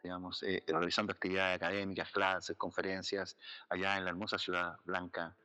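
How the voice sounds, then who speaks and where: Chilean man, bright voice, lots of fillers. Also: low voice, flat delivery, brisk. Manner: thoughtful, many fillers like "eh", "digamos", "¿cierto?". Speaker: guest, jurist and philosopher. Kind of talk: casual interview talk. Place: outdoors in a city neighborhood.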